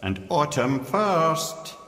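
Cheeky, high-voiced companion. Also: male voice, very animated, very slow, a bit bright, exaggerated voice. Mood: teasing. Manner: casual, teasing. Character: chatty, cheeky male companion. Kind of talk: cinematic video game acting. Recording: echoing reverberant chamber.

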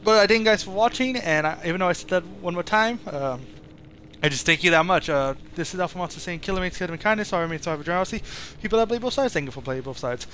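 A man with a fairly high voice talks very quickly over some background noise. He sounds like a streamer chatting off the cuff to viewers. His sentences ramble and he often corrects himself midway. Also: fairly bright tone. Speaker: gaming streamer and video creator. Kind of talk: casual chat, video outro. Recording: noisy livestream capture.

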